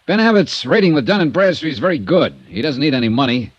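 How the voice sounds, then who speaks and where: A man's voice: fairly high pitch, very animated, fast talker, no room sound, highs cut off. An actor playing a confident, dry male insurance investigator who also narrates, in a 1950s radio drama. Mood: probing. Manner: dry, probing, understated.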